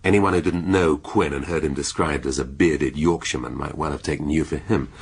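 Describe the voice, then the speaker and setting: An actor playing a shrewd, sardonic middle-aged British police inspector in a radio drama, speaking deliberately and with relish. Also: male voice, a bass voice, husky, exaggerated voice. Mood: smug, deliberate. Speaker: police detective.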